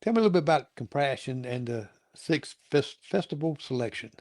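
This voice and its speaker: A man's voice: medium pitch, animated, a little fast, a little mellow, no room sound. A male talk show host chats casually with a guest in a folksy, meandering style. He circles back and repeats phrases as he goes, in a dry, close-sounding recording. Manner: rambling, folksy, repeats himself. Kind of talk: casual interview chat.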